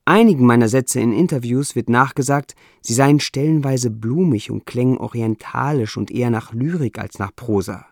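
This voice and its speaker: A man with a non-native German accent that struggles with umlauts, reading his own essay in a measured, deliberate way with dry, self-ironic humor. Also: medium pitch, gravelly, darkish tone, no room sound. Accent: non-native German, trouble with umlauts.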